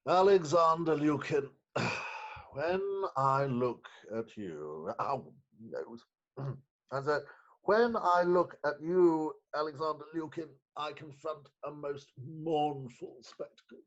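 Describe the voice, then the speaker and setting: A man's voice: on the high side, lively intonation, fairly bright tone, exaggerated voice. Man acting a flustered, pompous magistrate, muffled. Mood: pompous, mournful. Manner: pompous, halting, theatrical. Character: flustered, pompous middle-aged male magistrate, anxious and self-pitying. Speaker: actor. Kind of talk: staged reading of stage farce. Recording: dull remote call audio.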